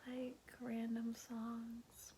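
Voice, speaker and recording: A woman doing ASMR in a soft, breathy whisper, chatting and telling bedtime stories in a hushed, musing way. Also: fairly high pitch, completely flat delivery, very slow, a little hiss or hum. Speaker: ASMR host.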